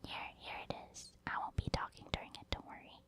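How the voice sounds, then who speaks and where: A woman whispering softly and breathily in a casual, rambling ASMR commentary over video gameplay. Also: brisk. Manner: casual, rambling, gentle explaining. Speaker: gaming ASMR video host.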